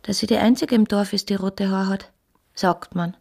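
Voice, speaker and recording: A woman's voice: medium pitch, fairly even intonation, normal speed, vocal fry, a little mellow. An actress in a radio play voicing an earnest, probing woman in love with a married man, speaking intimately and insistently. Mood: probing, needling. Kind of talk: German-language radio play acting.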